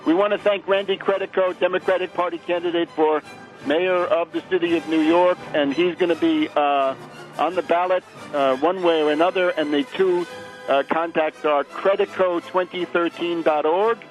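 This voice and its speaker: An American man hosting a political radio show, speaking in a measured, somewhat halting way. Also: a little high, steady tone, faint music behind, poor audio. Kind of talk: political radio interview and commentary.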